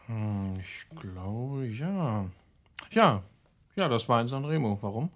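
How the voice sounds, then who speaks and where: A man's voice: mid-range voice, expressive, takes it slowly. An actor playing a guarded, nervous young American man hiding crimes in a radio drama.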